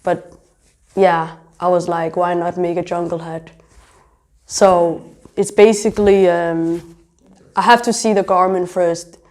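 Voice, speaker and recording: A woman's voice: on the low side, flat delivery, takes it slowly, pauses often, darkish tone. A young woman from Denmark talking casually in an interview as a designer, explaining herself in a reflective way. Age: young adult. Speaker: interviewee, fashion designer and entrepreneur.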